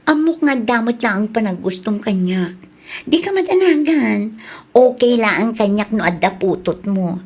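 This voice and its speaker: A woman's voice: mid-range voice, lively intonation, moderate pace, a little mellow, slightly rough. A woman with a Filipino Ilocano accent playing a lovestruck, brazen, teasing woman in a drama. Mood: flirty, confident. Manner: giggly, brazen, playful. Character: lovestruck, teasing woman boldly pursuing a widower. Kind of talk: Ilocano radio-style drama acting.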